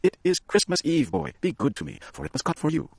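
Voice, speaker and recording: A man's voice: medium pitch, animated, fast talker, gravelly, husky, no echo, voice pushed up. A man voicing a loving, anxious young wife in a story reading, in a raised pleading voice.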